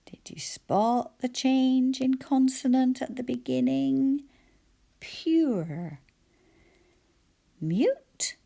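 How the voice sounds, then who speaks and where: A woman with a soft, often whispered voice teaching spelling and sounding out letters one by one. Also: a little high, vocal fry. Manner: slow, soft-spoken, sounds out each letter.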